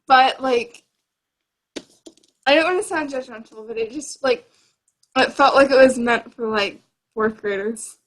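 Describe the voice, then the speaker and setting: A woman's voice: fairly high pitch, measured pace, long pauses. A young woman chatting casually about books in a giggly, rambling, informal way. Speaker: book discussion co-host. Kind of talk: casual book chat.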